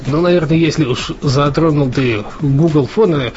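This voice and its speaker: A man's voice: a little high, normal speed, a little mellow. A man co-hosting a casual news podcast, talking in a chatty way with lots of fillers and restarts. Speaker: podcast co-host. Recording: dull lo-fi podcast audio with faint music.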